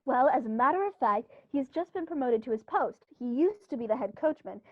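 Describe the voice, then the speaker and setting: A woman's voice: sits high, animated, fairly quick, dry recording. A woman playing an upper-class male country squire in a 1930s-style radio play, speaking with theatrical, genteel emphasis. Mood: cordial. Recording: dull, muffled recording.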